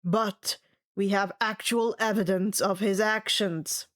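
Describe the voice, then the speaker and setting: A gruff male camp director, played by a woman reading a story, curt and commanding. He sounds irritable and dismissive, giving orders as storybook dialogue. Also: mid-range voice, fairly even intonation, slow pace, a bit bright. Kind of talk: audiobook-style character dialogue.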